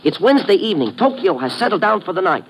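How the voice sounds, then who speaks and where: Pushy young press photographer, eager jive-talker, high voice with big swings, 1950s radio drama. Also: male voice, sing-song, quick pace, slight background noise, rough recording. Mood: excitable, eager. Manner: breezy, slangy, eager.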